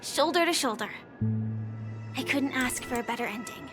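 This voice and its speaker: A woman's voice: high-pitched, lively intonation, moderate pace, over music. An actress playing an earnest, determined young woman ally in a video game, polite and careful. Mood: sincere, hopeful. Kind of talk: sincere video game dialogue.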